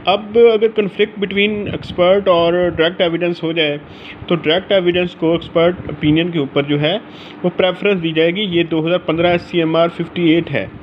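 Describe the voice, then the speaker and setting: A man's voice: high voice, level tone, normal speed, lo-fi sound, slight background noise. A man with a Pakistani accent gives an informal law lecture from notes, mixing English legal terms into his speech.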